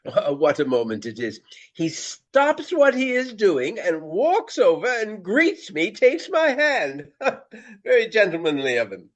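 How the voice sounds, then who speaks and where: An actor playing a vain, jovial Regency stage actor with courtly, effusive, old-fashioned speech in a radio play. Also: male voice, sits high, wildly swooping pitch, a little slow, full character voice. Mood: gleeful, self-satisfied. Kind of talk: radio drama acting.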